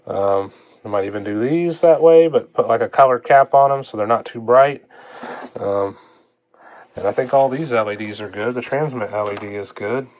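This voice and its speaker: A man's voice: mid-range voice, normal speed. A man gives an informal, folksy hands-on repair demonstration, thinking aloud as he works. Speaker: hobbyist repair vlogger. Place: indoors at a repair workbench. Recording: clear steady background noise.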